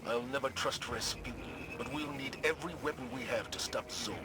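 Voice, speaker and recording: An actor playing a stern, wary male military commander who speaks in a firm, measured way. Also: medium pitch, very noisy, lightly acted. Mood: grave.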